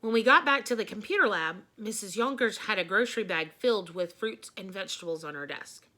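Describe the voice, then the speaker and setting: A woman reading a children's storybook aloud in an expressive, emphatic way, like a teacher addressing young listeners. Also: mid-range voice, a little fast.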